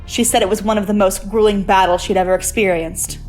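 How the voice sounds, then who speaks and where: A woman's voice: mid-range voice, fairly even intonation, fairly quick, a little mellow, soft background music, natural voice. A woman playing a proud, battle-ready warrior heroine in a superhero audio drama, speaking formally and earnestly. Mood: grave.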